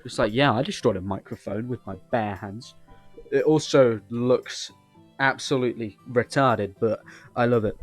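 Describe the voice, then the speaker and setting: A man's voice: moderate pace, soft background music. A man with an English accent giving casual, rambling gaming commentary in a cheeky, joking manner. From England. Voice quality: deep voice. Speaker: host of a gaming video channel. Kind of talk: casual rambling playthrough commentary, reading game dialogue aloud.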